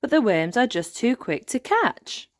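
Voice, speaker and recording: Animated, slow-paced woman reading a storybook. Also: fairly high pitch, no echo. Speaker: storybook narrator. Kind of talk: animated read-aloud for young children.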